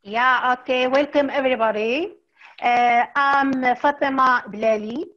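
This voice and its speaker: Middle-aged woman, Moroccan accent, teacher on a call: slow delivery, slightly high voice, lo-fi sound. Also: fairly even intonation. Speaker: English teacher, guest. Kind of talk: informal self-introduction on a video call. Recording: dull, lo-fi call audio.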